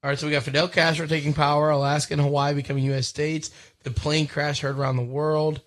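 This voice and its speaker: A man's voice: on the high side, little pitch movement, quick pace, fairly bright tone, dry recording. A man talking casually and informally on a podcast, in a loose, rambling way. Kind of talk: casual chat.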